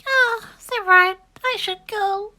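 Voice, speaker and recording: A woman voicing a small, timid and sad young male duckling in a storybook reading, with a squeaky, plaintive voice. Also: a little slow, sharp tone, exaggerated voice. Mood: forlorn.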